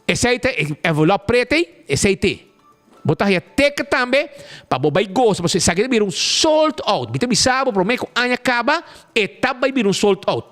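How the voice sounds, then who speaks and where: A man hosting a live show, announcing prizes and talking through a local dispute with animated, stumbling restarts. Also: sits high. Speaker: show host. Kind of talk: casual live show chat and commentary.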